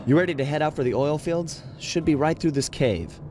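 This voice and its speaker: A man's voice: on the high side, brisk, darkish tone, slight background noise, lightly acted. A man voicing a young, easygoing raccoon thief in a video game, with a casual, wry delivery. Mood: wry, relaxed. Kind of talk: animated video game acting.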